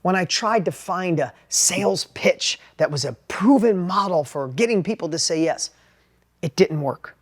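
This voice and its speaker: A middle-aged man with a New York accent and a high-pitched voice, giving an animated, plainspoken motivational talk about sales. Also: normal speed. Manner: animated, plainspoken, repeats and restarts words. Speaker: entrepreneur and sales educator. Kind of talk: motivational talk with personal story.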